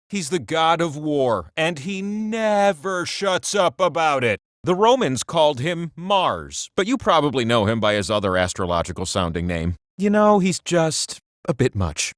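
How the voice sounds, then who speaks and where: An actor voicing a boastful, petty, all-powerful talking wheel, hosting a comedic quiz game with theatrical delivery and chatty, wry asides. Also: male voice, a little high, sing-song, a little slow, a bit bright, no room sound, studio quality. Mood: peevish.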